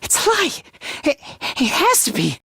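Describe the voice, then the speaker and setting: A man's voice: huge pitch swings, unhurried, vocal fry, bright, forceful tone, no echo, clean studio sound, heavily acted. A man playing an anxious, self-deprecating, frail teenage boy in a video game, with a boyish, hesitant and emotionally volatile delivery. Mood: agonized, panicked. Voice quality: high, boyish, androgynous. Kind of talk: video game voice acting, dramatic.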